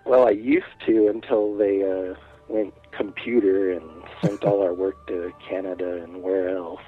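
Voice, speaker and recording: A man's voice: low-pitched, little pitch movement, measured pace, faint music behind. Man in his forties on a phone line. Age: 40s. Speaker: guest artist. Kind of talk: casual interview chat.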